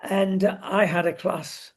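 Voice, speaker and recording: A woman's voice: mid-range voice, fairly even intonation, slow, darkish tone, slightly rough. An older woman with a British accent hosting a casual opinion chat in a wry, exclamatory way.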